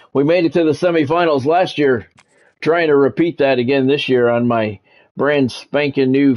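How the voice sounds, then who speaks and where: A middle-aged man talks on a livestream as a game commentator. His pitch is average for a man, and his delivery moves between casual chat and announcer-style sports play-by-play. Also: darkish tone.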